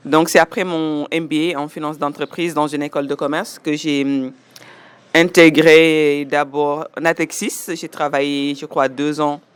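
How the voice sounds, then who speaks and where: Smooth-voiced woman in her forties. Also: low-pitched, no echo. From Senegal. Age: 40s. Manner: measured, listing details step by step. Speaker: interviewee. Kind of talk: personal testimony.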